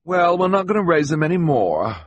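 A man's voice: a little high, sing-song, brisk, no room sound, pitched above the performer's own voice. A man voicing a haughty, snappish rich woman, a callous guardian with an imperious, cutting manner. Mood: snappish, disdainful.

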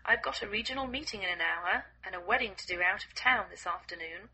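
A hoarse woman reading the lines of a brisk, slightly irritated male village vicar, barely changing her own voice. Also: high-pitched, sharp tone, full character voice, rough recording. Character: stern middle-aged male village vicar.

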